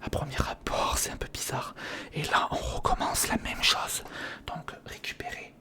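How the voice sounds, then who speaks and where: A man speaks in a breathy whisper close to the microphone, murmuring casual hands-on ASMR commentary in fragments, as if thinking aloud. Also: normal speed, sharp tone. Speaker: ASMR video creator. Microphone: close recorder microphone.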